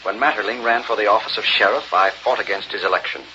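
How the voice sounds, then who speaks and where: An actor playing a troubled, earnest middle-aged public official and father in an old radio drama, speaking haltingly. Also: on the low side, bright, forceful tone. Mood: resigned, earnest. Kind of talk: 1930s-40s radio drama acting. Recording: dull, noisy old radio recording.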